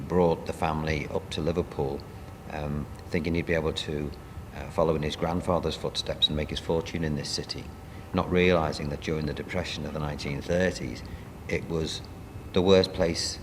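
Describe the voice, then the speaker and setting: A man speaking as a playwright and producer in an interview, reminiscing in a halting, conversational way. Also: a bass voice, long reverb tail, a little hiss or hum.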